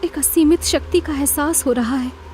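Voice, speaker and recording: A woman voicing a mysterious, calm daughter back from the dead in a horror cartoon story. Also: high voice, normal speed, slight background noise, lightly acted. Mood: eerie contentment.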